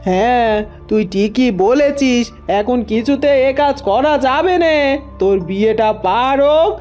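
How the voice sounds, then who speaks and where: A woman's voice: fairly high pitch, unhurried, darkish tone, faint music behind, lightly acted. A woman playing a bossy, conniving older village mother-in-law who scolds, in a cartoon fairy tale.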